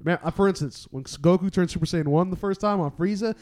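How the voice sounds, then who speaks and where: A man chatting casually, telling stories in an animated, slangy way. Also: high-pitched, fast, no echo, studio quality. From born in the Philippines. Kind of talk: casual chat.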